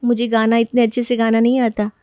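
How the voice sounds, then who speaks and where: A woman playing timid young bride, high soft-spoken voice, hesitant, deferential, eager to please, dry storybook sound. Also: monotone, brisk, clean tone, no echo, close to an everyday voice. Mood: shy, unsure.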